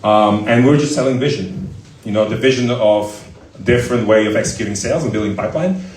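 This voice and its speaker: Low-voiced man in an echoey room. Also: brisk, darkish tone, distant mic, a little hiss or hum. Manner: talkative, many fillers, anecdotal. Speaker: startup founder and CEO as guest. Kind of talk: casual Q&A answers at a live event.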